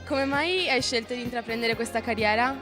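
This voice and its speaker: A woman's voice: a little high, brisk. A woman reporting for a newsreel with scripted questions and links. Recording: music playing behind the voice.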